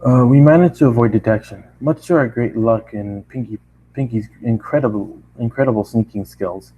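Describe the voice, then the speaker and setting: A man's voice: medium pitch, moderate pace, breathy edge, highs cut off. A tired man reading a story aloud as an amateur narrator, often stumbling and rereading phrases. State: tired, running out of steam. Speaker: amateur fan-fiction reader.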